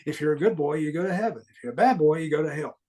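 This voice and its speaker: A man with a mild Southern US accent, speaking earnestly and haltingly as he reflects on his own experiences in a conversational interview. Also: a little high, lively intonation, a little mellow. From American Deep South. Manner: earnest, halting, repeats phrases for emphasis. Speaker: podcast guest recounting paranormal experiences.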